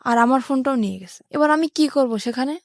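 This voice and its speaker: High-voiced Bangladeshi woman telling her story. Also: brisk, no room sound, studio quality. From rural Bangladesh. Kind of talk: personal life-story narration in a radio interview.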